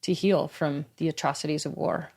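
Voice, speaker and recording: A woman in her fifties, a guest in a casual interview, talking in a chatty, lively way and laughing easily. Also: low voice, normal speed, vocal fry, husky. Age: 50s. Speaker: guest athlete.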